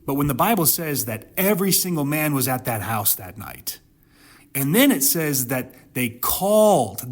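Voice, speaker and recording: A man hosts a dramatic scripture commentary. His voice sits a little high with a slightly husky grain and moves through wide, expressive swings. He phrases things deliberately and with emphasis. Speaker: host, Bible commentator.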